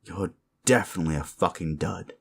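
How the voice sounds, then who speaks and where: A man's voice: low voice, fairly quick, gravelly, fairly bright tone, slightly rough, exaggerated voice. A man voicing a sleazy, bullying werewolf boss in an audio roleplay, crude, condescending and threatening. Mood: menacing, contemptuous. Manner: menacing, crude, taunting, condescending. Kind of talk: roleplay audio drama monologue.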